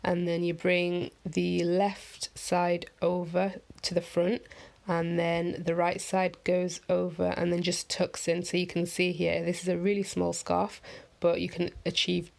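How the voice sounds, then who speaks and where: A woman vlogger with a croaky morning voice, talking through a head-wrap tutorial in a chatty, rambling, upbeat voiceover. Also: on the low side, completely flat delivery, unhurried, a little mellow. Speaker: fashion vlogger. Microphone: voiceover mic.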